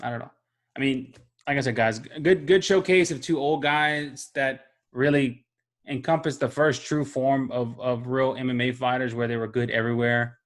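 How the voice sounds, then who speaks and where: A man's voice: mid-range voice, steady tone, normal speed. Laid-back man talking fights. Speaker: podcast co-host. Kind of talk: casual sports chat.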